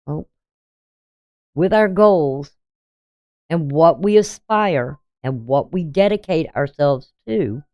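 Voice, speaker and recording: Deep-voiced woman host, blunt chatty astrology reading, low pitch, dry close sound. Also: warm tone, no echo. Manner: blunt, conversational, frequent "you know". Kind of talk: casual daily astrology reading.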